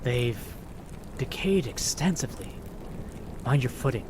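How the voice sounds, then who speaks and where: A man's voice: mid-range voice, expressive, unhurried, noisy background, close to an everyday voice. A man playing a learned, watchful fey adventurer who speaks in grave, terse, formal commands in a fantasy horror audio drama. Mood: grave warning.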